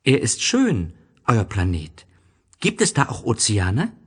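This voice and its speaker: A man's voice: fairly low pitch, wildly swooping pitch, slow, husky, voice pushed up, full character voice. A performer voicing a small, innocent boy prince in a storybook audio drama, earnest and full of wondering questions. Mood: admiring.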